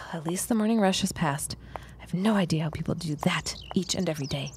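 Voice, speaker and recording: A woman's voice: on the low side, normal speed, lightly acted. Woman acting a frank, wry working woman, noisy ambience. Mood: flustered, exasperated. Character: frank, dryly witty working woman, former schoolteacher. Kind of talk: period audio drama acting.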